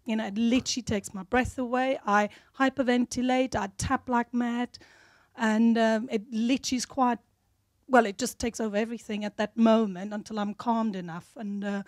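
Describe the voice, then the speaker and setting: Middle-aged woman, German accent, halting seminar volunteer on a handheld mic, dry sound. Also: on the high side, fairly even intonation, no echo. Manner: halting, restarts often. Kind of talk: telling a personal story on stage. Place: room at a live seminar.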